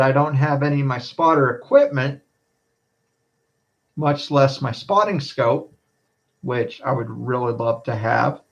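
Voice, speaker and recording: Slow-talking man on a weather livestream. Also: medium pitch, highs cut off. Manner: halting, repeats phrases, addresses viewers as guys. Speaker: storm spotter streamer. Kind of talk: casual live weather stream commentary.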